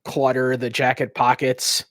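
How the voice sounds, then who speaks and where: A man's voice: medium pitch, completely flat delivery, a little slow, a bit bright, no echo, clean studio sound. A man working as game master, improvising casual narration for a tabletop game.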